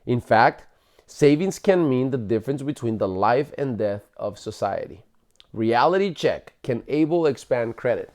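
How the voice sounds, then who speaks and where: A man's voice: mid-range voice, expressive, moderate pace, a little mellow. A man reading a book aloud like a parent at bedtime, in an explanatory way with occasional self-corrections. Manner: explanatory, stumbles and corrects himself while reading. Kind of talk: bedtime read-aloud of a book, with casual asides.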